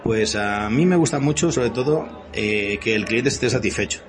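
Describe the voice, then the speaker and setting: A man's voice: lowish voice, moderate pace. A man in his fifties from Spain with a Spanish accent, explaining things conversationally as an interview guest in a wordy, hesitant manner. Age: 50s. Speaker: interview guest, company CEO.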